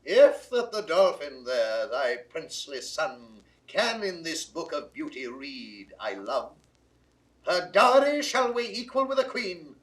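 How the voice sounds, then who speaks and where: An actor playing an imperious king in a 1960s classical Shakespeare audio drama, declaiming in a husky, theatrical voice. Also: very animated, takes it slowly, exaggerated voice, low-quality recording. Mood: grand, persuasive. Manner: declamatory, theatrical, swinging from hushed to booming. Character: imperious, grandiose king, bargaining and commanding.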